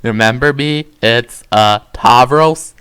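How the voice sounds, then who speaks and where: A man's voice: mid-range voice, takes it slowly, noisy background, natural voice. Man doing Tavros, a shy stammering troll boy. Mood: pleading. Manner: hesitant, stammering with frequent uhs. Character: Tavros, shy lovesick young troll boy, awkward and angsty. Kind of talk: amateur dramatic reading of fan fiction.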